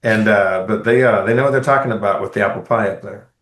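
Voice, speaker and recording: A man co-hosting a podcast, telling a rambling, animated travel story in casual, profane chat. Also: lowish voice, fast. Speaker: podcast co-host. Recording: roomy echo.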